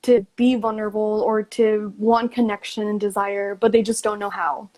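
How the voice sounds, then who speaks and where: A woman's voice: mid-range voice, flat delivery, normal speed, darkish tone. A woman hosting a podcast, talking casually and reflectively in a supportive, informal way peppered with "like". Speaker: podcast host.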